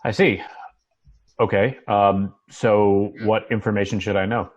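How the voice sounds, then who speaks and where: A man's voice: lowish voice, steady tone, lo-fi sound. A man reading the part of an uneasy, questioning heir in a TV drama table read, speaking hesitantly. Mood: guarded. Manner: hesitant, halting. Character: Muff, bewildered male heir, uneasy and questioning. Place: home, remote video call.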